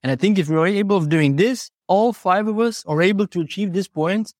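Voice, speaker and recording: Earnest higher-voiced man, non-native English speaker. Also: brisk, a little mellow, dry recording, studio quality. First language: not English. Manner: earnest, philosophical, explaining with examples and rhetorical questions. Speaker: horse trainer and podcast guest. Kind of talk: reflective interview talk, personal anecdotes.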